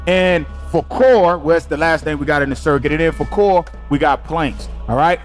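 Male host with a high voice; hype, casual, slang-heavy delivery; soft music bed. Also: moderate pace. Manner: energetic, hype, slangy banter. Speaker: podcast host. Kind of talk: casual chat.

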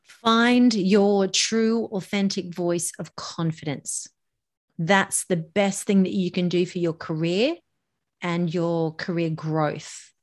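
A woman's voice: fairly low pitch, takes it slowly. A middle-aged woman, a voice coach, giving emphatic public speaking advice in a podcast interview. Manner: animated and emphatic, stretches words for effect. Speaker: voice coach, guest.

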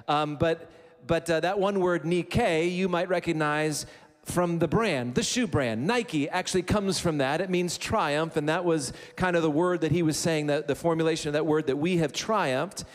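A male pastor delivers a sermon in a relaxed, conversational way, slipping in casual asides and light humor. His voice sits a bit high for a man. The sound carries the long reverb of a church hall. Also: moderate pace. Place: church sanctuary.

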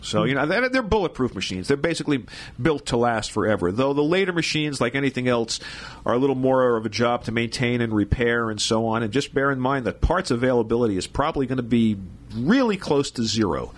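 A man talks as the resident expert in a relaxed conversation. His delivery is informal and colloquial, with an ordinary mid-range voice. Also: mid-range voice, brisk. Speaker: guest expert, tape machine repairer. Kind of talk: casual expert talk.

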